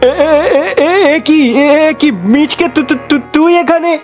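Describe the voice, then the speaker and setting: A man's voice: very high voice, steady tone, measured pace, soft background music. A man voicing a sly, cowardly monkey trickster in a comic cartoon, with repetitive, exclaiming speech. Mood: panicked. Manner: stammering, wailing, repetitive.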